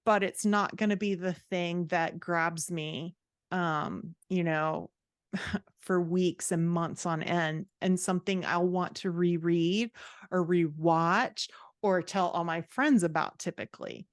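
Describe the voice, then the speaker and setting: A woman speaks in a very dry, close recording with no room sound. Her voice sits on the low side and she takes her time. She chats informally and expressively, like a guest sharing opinions in a casual interview. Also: fairly even intonation, takes it slowly, no room sound. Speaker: podcast guest, fantasy author and writing coach.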